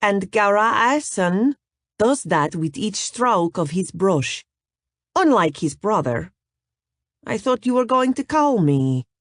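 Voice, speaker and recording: A woman's voice: medium pitch, sing-song, takes it slowly, dry recording, clean studio sound, voice pushed up. A man voicing a seductive Russian supermodel with a thick Russian accent, speaking in a flirtatious, sultry way.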